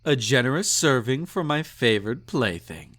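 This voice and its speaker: A man's voice: mid-range voice, expressive, a little slow, fairly bright tone, slight background noise. A man playing a snobby, possessive emperor in an intimate romantic audio roleplay, indulgent and menacing, with a haughty, purring, condescending delivery. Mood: smug, indulgent.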